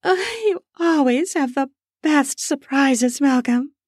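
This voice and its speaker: A woman voicing a breathy, adoring female lover. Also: high voice, slow, dry recording, clean studio sound, voice pushed up. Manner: breathy and sighing. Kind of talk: erotic audio story reading.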